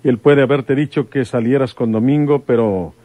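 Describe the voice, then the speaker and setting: A man's voice: mid-range voice, moderate pace, warm tone, dry recording, lightly acted. An actor with a Mexican accent playing a stern, probing rural detective and father in a radio drama, with rustic, insistent speech. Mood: grave, probing. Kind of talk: old-time radio drama acting.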